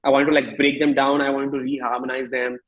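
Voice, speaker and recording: A man in his thirties with an Indian accent, speaking candidly and reflectively as an interview guest, often halting and rephrasing his thoughts. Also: mid-range voice, flat delivery, phone-quality sound. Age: 30s.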